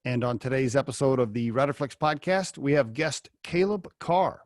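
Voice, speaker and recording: A man's voice: mid-range voice, expressive, normal speed, no echo, studio quality. A man hosting a podcast interview over a video call, chatty and asking rambling questions. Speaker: podcast host. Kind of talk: casual interview.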